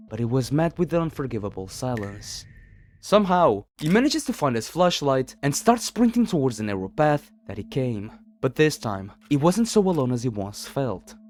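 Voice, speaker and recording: A man's voice: medium pitch, a little mellow. A man narrating a scripted horror story as a video narrator, in a dramatic storytelling manner.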